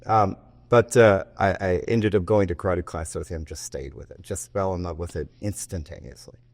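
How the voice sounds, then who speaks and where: A low-voiced man in his fifties talks through his answers in a loose, easygoing way. He circles back and restarts his sentences as he goes. The recording is close and dry, with no room sound. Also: fairly even intonation, normal speed, no room sound. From United States, raised in Japan. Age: 50s. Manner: rambling with frequent restarts and self-interrupting asides. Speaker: martial arts teacher and guest. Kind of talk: relaxed interview talk.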